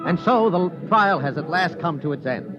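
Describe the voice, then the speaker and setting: Man acting a high-voiced vintage newscaster over music. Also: animated, close to an everyday voice. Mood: portentous. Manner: portentous, measured, rhetorical. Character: grave, emphatic male radio news commentator. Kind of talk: old-time radio newscast acting. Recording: muffled vintage radio recording.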